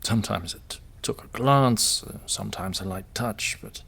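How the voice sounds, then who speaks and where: An actor playing a middle-aged widowed king and father, tender and self-doubting, speaking in a halting, gentle way in an audio drama. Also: on the low side, vocal fry, husky, full character voice.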